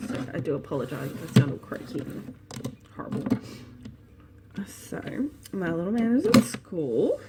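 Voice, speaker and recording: Australian woman, 30s, low relaxed voice, rambling home-vlog chatter, noisy camera audio. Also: moderate pace. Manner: rambling, chatty, thinks aloud. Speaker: vlogger and small online shop owner. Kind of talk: casual vlog chat. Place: home living room.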